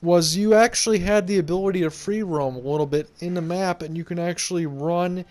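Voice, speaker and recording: High-voiced man rambling over gameplay. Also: a little fast, darkish tone. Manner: rambling, conversational explaining. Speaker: game video creator. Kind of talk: casual gameplay commentary. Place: home room, indoors.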